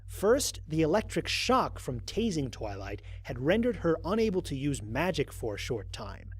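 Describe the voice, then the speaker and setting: A man performs a human explorer reading his mission log in an audio drama. His voice is fairly high for a male and slightly rough, with very animated intonation. He reports methodically, with dry, wry formality and measured phrasing. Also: no echo. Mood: measured, analytical. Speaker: narrator. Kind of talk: scripted first-person audio drama narration.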